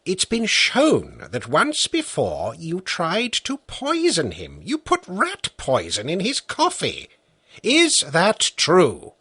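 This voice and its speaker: A man reading the part of a stern, patient judge who questions the accused formally and slowly. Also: high-pitched, huge pitch swings, pushed and bright, breathy edge, no echo, pitched above the performer's own voice, full character voice. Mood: stern questioning.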